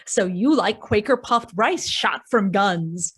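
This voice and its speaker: A woman's voice: mid-range voice, lively intonation, slow, slightly rough. A woman playing an eager, wide-eyed questioner in an old-time radio advert, full of exclamations. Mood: eager, amazed. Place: home, live online video performance.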